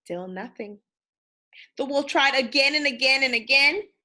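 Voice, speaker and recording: A woman reads a children's story aloud with a bright, fairly high voice. Her delivery is dramatic and unhurried, addressed directly to young listeners. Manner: dramatic, pausing to ask listeners questions. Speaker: storybook reader. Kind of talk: animated children's read-aloud.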